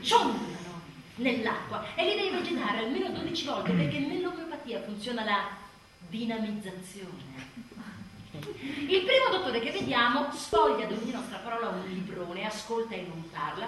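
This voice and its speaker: A woman's voice: on the high side, very animated, normal speed. A woman performing a theatrical comic monologue as an exasperated mother, with a sarcastic, emphatic and dramatic delivery. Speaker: stage actress performing a monologue. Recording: echoey room, distant mic, faint noise.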